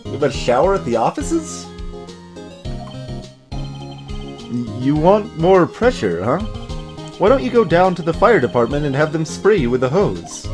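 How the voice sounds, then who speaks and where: Man playing a lively sardonic young lawyer. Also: on the high side, measured pace, long pauses, music in the background. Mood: dry, sarcastic. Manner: dry sarcasm, stammers when flustered. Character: young male defense lawyer, sardonic, easily startled.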